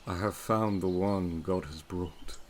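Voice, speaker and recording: Low-voiced, brooding gentleman of the manor. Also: slow, a little hiss or hum. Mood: fervent, brooding. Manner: intense, imperious, theatrical. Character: brooding, commanding gentleman master of a gothic manor. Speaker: actor in an audio drama. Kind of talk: period audio drama acting.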